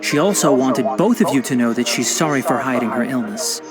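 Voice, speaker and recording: A man voicing a calm, learned young male forest ranger and botanist in a video game, explaining things in a patient, precise, lecturing way. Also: medium pitch, a little fast, natural voice. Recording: loud game soundtrack music behind.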